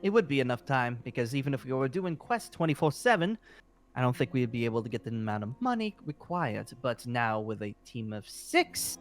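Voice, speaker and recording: A man's voice: mid-range voice, very animated, a little fast, close to an everyday voice. A man playing an eager, apologetic fantasy guild leader in improvised roleplay, talking in a hesitant, rambling way. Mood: earnest, hopeful. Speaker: roleplay performer. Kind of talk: improvised Minecraft roleplay dialogue. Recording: music under the voice.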